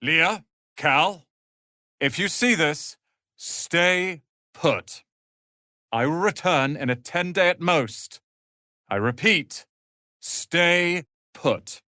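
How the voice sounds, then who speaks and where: Young apprentice wizard, proud, sardonic, clipped diction, forceful bright voice, dry close sound, dramatic fantasy game acting. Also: male voice, a little high, lively intonation, extremely slow, long pauses, no room sound, full character voice. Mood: urgent, resolute. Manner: haughty, clipped, sardonic, articulate. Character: proud, prickly young male apprentice wizard, haughty and ambitious. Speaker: video game character.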